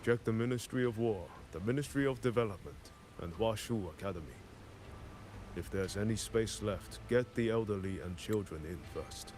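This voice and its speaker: An actor playing a grave, dignified commander of a besieged city in a video game, speaking formally with measured authority. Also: male voice, lowish voice, a little mellow, soft background music, natural voice. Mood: urgent, commanding. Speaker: military commander character. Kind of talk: scripted video game dialogue.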